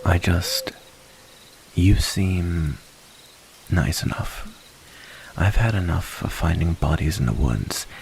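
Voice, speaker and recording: An extremely deep male werewolf, acted by a man, wary but protective of humans. He murmurs earnest warnings in a creaky, gravelly ASMR roleplay voice, with breathy pauses. Crickets chirp behind him over a faint hiss. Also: a little mellow, full character voice, rough recording.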